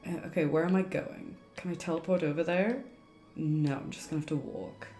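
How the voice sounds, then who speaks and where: Woman, game livestreamer, casual chat, dark mellow tone, roomy mic, soft game music. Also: sits low, fairly quick. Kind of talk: casual chat while playing. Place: indoor room, livestream setup.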